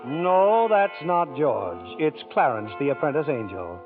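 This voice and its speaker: A man hosting and narrating a 1940s radio drama with a smooth, showman-like delivery. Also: on the high side, sing-song, measured pace, loud music behind the voice. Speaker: radio producer and host. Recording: tinny 1940s radio broadcast.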